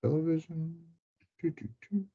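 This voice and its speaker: A man's voice: fairly high pitch, steady tone, moderate pace, creaky, slightly rough, phone-quality sound. A man chatting casually and informally about films, thinking aloud as he goes.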